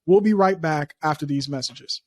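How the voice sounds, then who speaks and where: A man from Liberia hosting a startup podcast, energetic, speaking straight to listeners and reading sponsor spots. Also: normal speed, dry recording. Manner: energetic, pitches listeners directly. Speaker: podcast host. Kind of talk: podcast interview and ad read.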